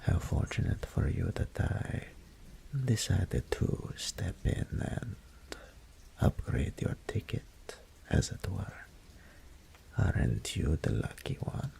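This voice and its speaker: A male voice actor playing a seductive, smug male greater incubus in an audio roleplay drama, with breathy whispers and a slow, purring, condescending manner. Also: sits low, very animated, warm tone, exaggerated voice. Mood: seductive, teasing.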